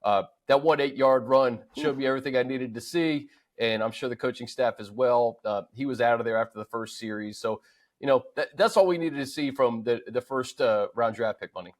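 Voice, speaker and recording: A man's voice: medium pitch, a little fast. An American man hosting a sports show, casually discussing players and running through statistics.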